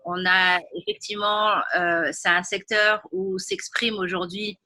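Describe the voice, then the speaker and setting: Bright-voiced woman interviewer, dry close sound. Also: medium pitch, flat delivery, unhurried, no room sound. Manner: fluent, structured questions with fillers. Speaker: interviewer, host. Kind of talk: interview questions.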